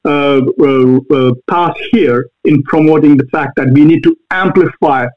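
A man with an Indian accent, a guest answering interview questions earnestly, pausing often on fillers. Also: a little high. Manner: earnest, halting with many fillers. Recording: tinny phone-like line.

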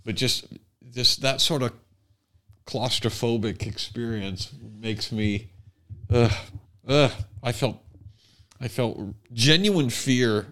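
A man's voice: mid-range voice, slow, fairly bright tone. A man co-hosting a casual talk show, speaking in a conversational, off-the-cuff way. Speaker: podcast co-host.

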